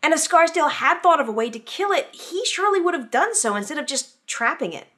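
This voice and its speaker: A woman's voice: high voice, expressive, brisk, fairly bright tone. A woman playing a sycophantic minion devoted to an evil mistress, speaking grandly in an amateur web drama. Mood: solemn. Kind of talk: amateur web series acting.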